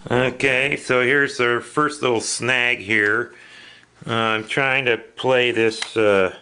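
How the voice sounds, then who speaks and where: A man talking casually and unscripted as a vlogger, listing items in a plainspoken, matter-of-fact way with pauses. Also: medium pitch, steady tone, pushed and bright, a little hiss or hum. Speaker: vlogger showing storage auction finds. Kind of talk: casual unscripted narration to camera.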